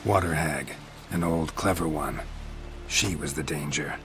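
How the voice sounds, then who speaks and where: An actor playing a gruff, world-weary monster hunter for hire in a video game, speaking tersely and dryly. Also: male voice, rumbling low, unhurried, over music. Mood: matter-of-fact. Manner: terse, dry, matter-of-fact.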